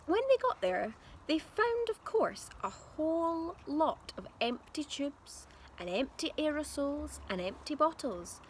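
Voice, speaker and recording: Female storyteller for children, expressive and animated, teacherly delivery, some clear background noise. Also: sits high, slow. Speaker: primary school teacher reading aloud.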